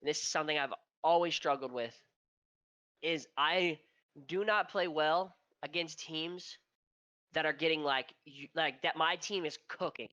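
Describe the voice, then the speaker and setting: Male co-host, nasal and stuffy, sharp tone, dull lo-fi sound, swears and rambles. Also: on the high side, measured pace, no echo. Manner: profane, rambling storyteller. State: stuffy from allergies. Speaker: podcast co-host. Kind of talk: casual esports podcast chat.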